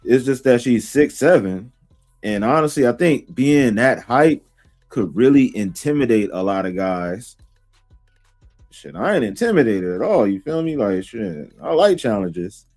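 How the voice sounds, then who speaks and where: A man's voice: medium pitch, animated, a little slow, darkish tone, soft background music. A man giving slangy commentary, talking straight to viewers. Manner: slangy, addresses viewers directly. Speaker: show commentator. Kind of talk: commentary to camera.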